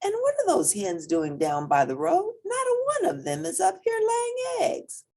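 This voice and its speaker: Lady doing a fed up farmers wife from a kids book, high voice, very animated. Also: unhurried, exaggerated voice. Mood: exasperated.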